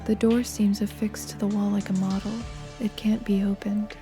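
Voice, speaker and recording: A woman narrating a horror video game fan dub in a hushed, breathy, grave and measured way. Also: mid-range voice, level tone, faint music behind, close to an everyday voice.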